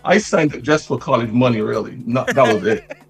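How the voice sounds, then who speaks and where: A middle-aged man from Barbados with a gravelly, husky voice, talking conversationally as an interview guest. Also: medium pitch, animated, a little fast. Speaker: interview guest, retired police detective. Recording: music playing behind the voice.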